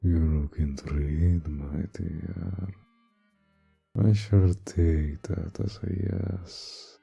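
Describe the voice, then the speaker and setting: Ancient male vampire played by a man for roleplay audio: very low, heavy gravel, courtly seduction with predatory menace, unhurried. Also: steady tone, mellow, muffled recording, faint music behind, heavily acted. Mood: charming, courtly. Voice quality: deep, gravelly, breathy, dropping into whispers. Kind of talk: intimate roleplay audio drama.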